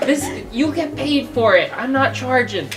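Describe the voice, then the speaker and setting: A woman's voice: sits high, expressive, normal speed. A woman improvising lines as a player in a tabletop roleplaying podcast. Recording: clear music behind voice.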